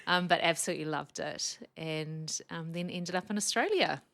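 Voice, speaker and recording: Bright-toned New Zealand woman. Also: lowish voice, normal speed, vocal fry, no room sound. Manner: chatty animated storyteller, laughs often. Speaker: podcast guest, speech pathologist. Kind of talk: casual interview chat.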